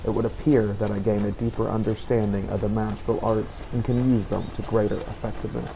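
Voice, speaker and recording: A man voicing a solemn, devout warrior who hunts the undead, narrating a game journal in measured, formal words. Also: lowish voice, level tone, vocal fry. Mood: solemn, brooding. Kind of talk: in-character journal narration over a video game. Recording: noticeable background noise.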